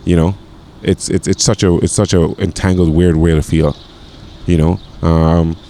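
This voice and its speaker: A man in his forties from Grenada talks in a very deep, low voice. He rambles in a loose, casual way and often stumbles over repeated words and fillers. There is a faint hiss of noise behind him. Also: creaky, mellow. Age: 40s. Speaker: podcast guest, chef. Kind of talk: casual conversation.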